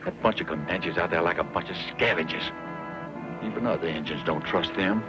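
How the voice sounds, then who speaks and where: An actor playing a gruff, distrustful old frontiersman with folksy backwoods speech in an old western radio drama. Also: low voice, brisk, close to an everyday voice. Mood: bitter, distrustful. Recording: muffled radio recording with music behind.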